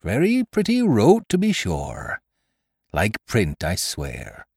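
A man acts out a sly, menacing pirate sea cook from an adventure storybook. His rustic sailor talk swings dramatically in pitch and takes its time, full of contempt and command. The sound is close and dry. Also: huge pitch swings, slow, vocal fry, darkish tone, husky, dry recording, heavily acted. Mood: mocking, sly. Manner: rustic old-time sailor speech with theatrical emphasis. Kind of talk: dramatised audiobook reading of a classic adventure story.

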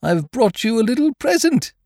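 A man's voice: high-pitched, huge pitch swings, measured pace, creaky, a little mellow, no echo, clean studio sound, pitched above the performer's own voice, heavily acted. A man voicing a cheerful, simple bear in a storybook, earnest and eager. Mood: excited.

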